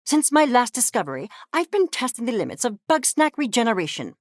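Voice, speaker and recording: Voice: mid-range voice, sing-song, fairly quick, no room sound, clean studio sound, natural voice. An actor, sounding female, voicing an arrogant, obsessed mad-scientist creature in a video game, with pompous, clinical, precise diction. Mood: brisk, businesslike. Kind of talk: theatrical game character acting.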